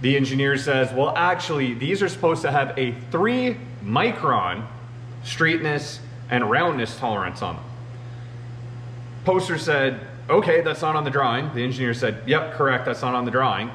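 A man's voice: mid-range voice, expressive, moderate pace, fairly bright tone. A man in his thirties talking casually to camera as a machinist show host, filling his talk with "you know.". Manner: conversational, uses many "you know" fillers. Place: large indoor machine shop. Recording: roomy shop with faint hum.

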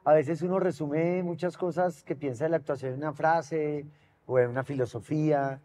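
A man's voice: fairly high pitch, fairly even intonation, normal speed, mellow. A man in his thirties with a Colombian accent, hosting an interview in a casual, conversational way. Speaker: interviewer, fellow actor. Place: large glass-walled event space with seated audience. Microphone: lapel mic. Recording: noticeable background ambient noise.

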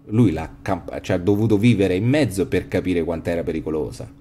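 A man's voice: sits low, fairly quick, dark tone, a little hiss or hum. A man in his forties hosting a podcast over a video call on a headset, musing in a reflective way with frequent restarts and trailing phrases. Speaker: podcast host. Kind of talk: casual philosophical chat. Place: home room, video call.

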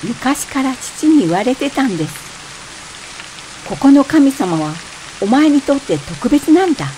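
A woman's voice: high voice, very animated, a little slow, dark tone, a little hiss or hum, low-quality recording. An actress playing a frank married woman fed up with her husband, in conversational, wry radio drama dialogue. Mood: grumbling. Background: rain.

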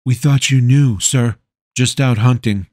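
A man voicing a teenage boy who is a smooth, breezy liar. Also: medium pitch, a little slow, darkish tone, no echo, clean studio sound, voice pushed up, close to an everyday voice. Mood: casual.

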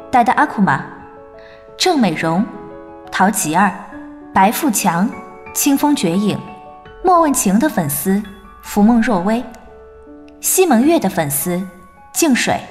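A woman announces production credits in a formal, deliberate way. Her voice is fairly high and swings widely in melody. It rings with long reverb over music. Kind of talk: scripted credits reading.

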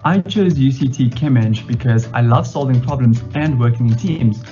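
A man's voice: mid-range voice, normal speed, warm tone, lo-fi sound, soft background music. A young man graduate giving a friendly testimonial from home. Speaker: recent graduate. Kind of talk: testimonial to camera.

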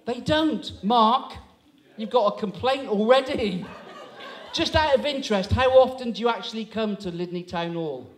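High-voiced man in his sixties compering. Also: moderate pace. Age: 60s. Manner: chatty, teasing, audience banter. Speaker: comedy compere. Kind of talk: stand-up crowd work. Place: small town hall stage, indoors. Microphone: handheld microphone.

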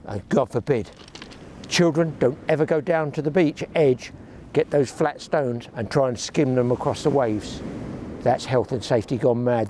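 A chatty older man in his sixties talks to a close-held camera while fishing alone on a shingle beach. He rambles from tips to grumbles with a dry sense of humour, his voice sitting in a middle register. Clear outdoor noise runs behind him. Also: mid-range voice, dark tone. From England. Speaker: fishing vlogger. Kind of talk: casual chat to camera. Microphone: action camera held close.

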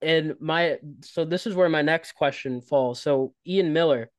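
Higher-voiced man asking podcast questions, dry. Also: a little slow, no room sound. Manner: hesitant, stops to rephrase himself. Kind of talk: casual interview questions.

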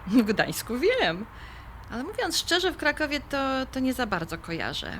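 A woman's voice: fairly high pitch, very animated, moderate pace, fairly bright tone, a little hiss or hum. A woman playing a skeptical but fond middle-aged mother being courted, questioning incredulously in an audio drama. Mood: puzzled.

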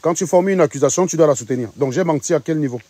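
Middle-aged man, rapid talk, mocking, phone at arm's length outdoors. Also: fairly high pitch, darkish tone. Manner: combative, mocking, insistent questioning. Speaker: live stream host. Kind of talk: casual livestream chat. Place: outdoors on a sunny street.

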